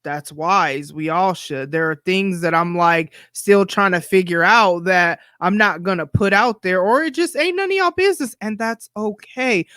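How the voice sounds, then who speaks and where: A woman's voice: on the low side, normal speed, hardly any pauses, fairly bright tone, no echo, studio quality. A woman in her forties hosting her own podcast, talking candidly in a chatty, blunt way. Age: 40s. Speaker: podcast host. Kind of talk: solo podcast monologue.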